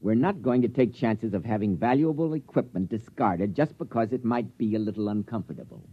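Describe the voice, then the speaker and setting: A man playing a brisk, stubborn, patronizing inventor, matter-of-fact and emphatic, in 1950s radio drama style. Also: fairly low pitch, slightly rough. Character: stubborn, brisk male inventor proud of his gear. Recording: dull vintage radio recording.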